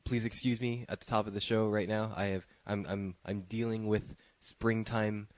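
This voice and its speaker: Congested low-voiced male podcast host. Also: flat delivery, moderate pace, no room sound. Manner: rambling, joking, many restarts. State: congested, nasal from allergies. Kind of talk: casual podcast banter.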